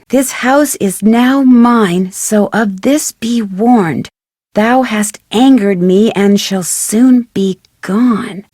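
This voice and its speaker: An actress playing a curious, plucky young amateur detective with an American accent, musing aloud to herself in an adventure game. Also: female voice, mid-range voice, darkish tone, no room sound, clean studio sound. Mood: reading aloud, solemn. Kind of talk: scripted adventure game voice acting, thinking aloud.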